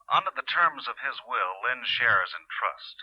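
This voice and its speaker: An actor playing an uneasy family advisor, hesitant and careful, in 1950s radio drama style. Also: male voice, mid-range voice, sing-song, moderate pace, breathy edge. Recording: muffled 1950s radio broadcast recording.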